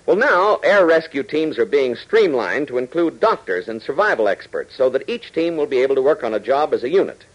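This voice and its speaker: Male American announcer for 1940s radio serial: emphatic, dramatic, highly animated narration with a husky edge. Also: fairly quick, few pauses, poor audio. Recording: old 1940s radio broadcast recording.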